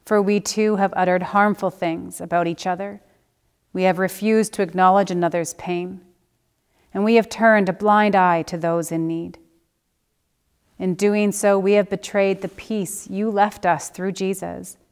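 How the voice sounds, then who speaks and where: A middle-aged woman leads a scripted prayer as a minister. Her voice is mid-pitched, smooth and resonant, and her delivery is formal and measured. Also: fairly even intonation. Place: church sanctuary.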